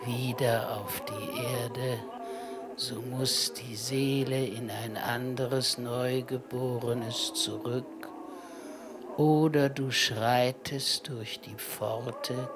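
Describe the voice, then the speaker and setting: Male narrator for poetic radio play, solemn, even, extremely unhurried, music clearly underneath. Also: mid-range voice. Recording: music behind the voice.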